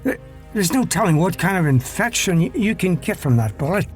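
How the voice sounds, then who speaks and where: Worried country doctor, man, fairly high pitch, flustered, stammering, radio play, faint music behind. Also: sing-song, fairly quick. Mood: flustered, pleading. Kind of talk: audio drama acting.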